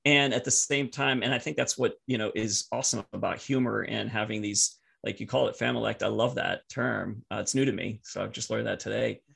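A man whose first language is French, telling personal stories casually as a podcast guest in a halting, reflective, easily amused way. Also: fairly low pitch, fairly even intonation, gravelly, fairly bright tone, breathy edge.

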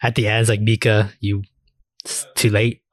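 A man's voice: lowish voice, flat delivery, moderate pace, no echo, studio quality. A man podcast host in casual discussion, rambling enthusiastically and swearing freely. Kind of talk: casual anime discussion chat.

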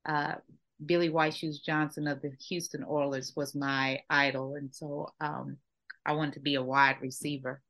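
A woman from Texas hosts a casual podcast interview in a notably low voice. She talks with enthusiasm and chatty warmth of manner, leaning on fillers and restarting her sentences as she goes. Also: flat delivery, moderate pace. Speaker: podcast host.

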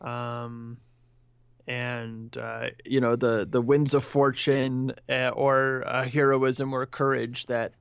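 A man's voice: mid-range voice, flat delivery, slow, creaky, clean tone, no echo. A man in his thirties co-hosting a podcast, talking through films in a thoughtful, hedging way and asking probing questions. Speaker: podcast co-host. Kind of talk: casual film discussion.

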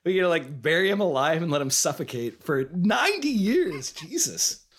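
A man's voice: fairly high pitch, big pitch swings, normal speed, a bit bright, breathy edge. An American man in his thirties with a cold and a slightly congested voice, co-hosting a casual, jokey, rambling film discussion. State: has a cold, losing voice. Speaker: podcast co-host.

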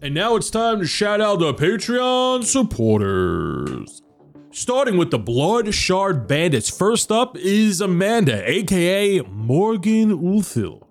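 A man serving as dungeon master, his pitch rising and falling a lot as he talks. He laughs easily while chatting with his players. Then he shifts into narrating short fantasy scenes. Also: slow pace, soft background music. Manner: laughs often, animated. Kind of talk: closing a tabletop game session, then narrating supporter stories.

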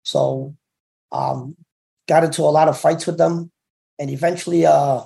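A middle-aged man from Brooklyn with a slight Cantonese-tinged New York accent, telling his story in an interview, halting and full of fillers and restarts. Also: on the high side, steady tone, vocal fry, dark tone. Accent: Cantonese-tinged New York English. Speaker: interview guest, former gang member.

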